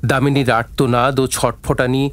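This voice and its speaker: A man's voice: medium pitch, level tone, moderate pace, fairly bright tone, slight background noise. A man narrating a scripted dramatic story as the narrator of an audio play. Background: faint music.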